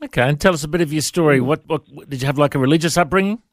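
Aussie host guy, slightly high voice, dry close mic, easy friendly questions. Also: rapid-fire, no room sound. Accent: Australian. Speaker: podcast host. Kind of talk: interview hosting.

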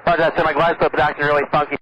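A man's voice: fairly high pitch, flat delivery, racing. A young man, a student pilot, making polite radio calls to air traffic control from a small cockpit, under stress. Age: young adult. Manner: polite, deferential. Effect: aircraft radio. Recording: noisy muffled cockpit radio transmission.

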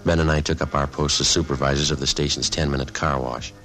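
Terse radio police detective, extremely low voice, deadpan, clipped, matter-of-fact, music behind, old radio recording. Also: male voice, fast. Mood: deadpan, factual. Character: terse, businesslike male police detective narrating his case. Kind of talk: 1950s radio police drama, clipped narration.

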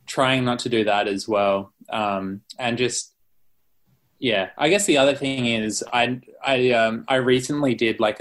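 A young Australian man, a musician being interviewed, chatting casually and rambling with lots of fillers and restarts. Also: mid-range voice. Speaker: musician guest.

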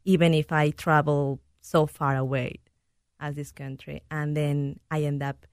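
Lady on a radio panel, lower voice, earnest, hunts for english words sometimes, dry mic. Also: little pitch movement, measured pace, a little mellow, no room sound. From Peru. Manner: earnest, searching for words in a second language. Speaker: guest, program director. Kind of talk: casual radio talk-show conversation.

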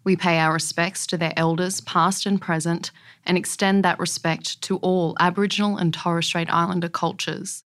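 Low-voiced woman, formal announcer. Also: level tone, moderate pace, fairly bright tone. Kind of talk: formal scripted acknowledgement.

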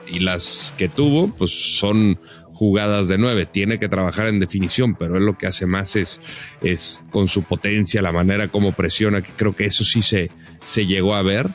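A Mexican man on a sports podcast, giving measured, analytical football commentary with frequent "eh" fillers. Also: lowish voice, faint music behind. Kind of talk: casual football analysis chat.

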